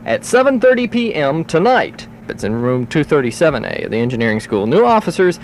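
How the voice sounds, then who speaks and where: A man's voice: a little high, huge pitch swings, brisk, a little hiss or hum. A man working as a rock radio disc jockey, announcing in punchy, hyped 1970s top-40 patter. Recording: 1970s radio aircheck with loud music. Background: loud rock music.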